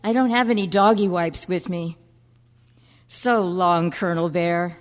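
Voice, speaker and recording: A woman's voice: on the low side, unhurried, close to an everyday voice. A woman playing a fussing, coaxing dog owner in a staged radio drama. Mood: coaxing, fussy. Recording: echoey hall with long reverb.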